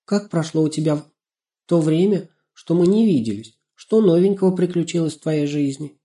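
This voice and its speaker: A man voicing a ringing, high-pitched tender little girl. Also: moderate pace, dark tone, natural voice. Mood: glad, curious. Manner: exclaiming, full of questions. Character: young blind girl, affectionate, curious, easily frightened.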